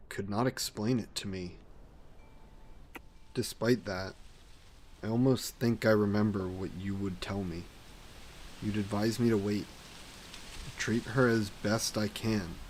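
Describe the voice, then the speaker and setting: An actor playing a grieving ancient vampire in an audio drama, speaking in a regretful, halting, introspective way. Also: male voice, a little hiss or hum. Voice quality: low, slow and brooding.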